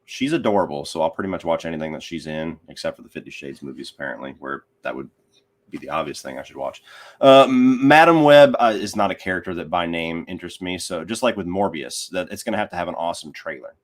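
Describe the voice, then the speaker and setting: A man speaking as a podcast guest, giving his opinions on films in a casual, conversational and measured way. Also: low voice, lively intonation.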